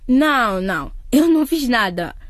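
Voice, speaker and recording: A woman's voice: fairly high pitch, big pitch swings, moderate pace, no echo, clean studio sound. An actress playing a confused teenage schoolgirl in a radio drama, hesitant and confiding.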